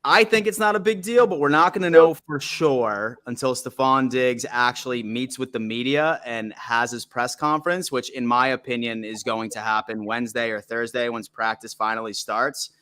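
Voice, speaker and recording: A man's voice: mid-range voice, steady tone, moderate pace, few pauses, a bit bright. A man in his thirties from Buffalo, New York, hosting a casual sports call-in stream in a laid-back way. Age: 30s. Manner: laid-back, says "man" often, hypes guests.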